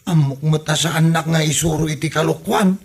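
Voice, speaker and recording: A man playing a simple-minded, lovesick grown son with an Ilocano accent in a comedy drama, halting and naive as he speaks. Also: fairly high pitch, fairly even intonation, natural voice. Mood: bashful, smitten.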